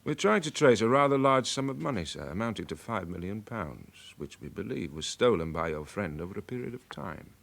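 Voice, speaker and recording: An actor playing a polite but relentless British police inspector, measured and insistent, in a radio crime drama. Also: male voice, lowish voice, animated, vocal fry, fairly bright tone. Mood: politely insistent.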